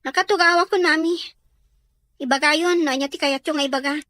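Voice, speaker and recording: A woman's voice: sits high, fairly quick, bright, forceful tone, no echo. A woman playing a troubled, defiant teenage daughter in an Ilocano audio drama, with a Filipino accent. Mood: defiant, hurt.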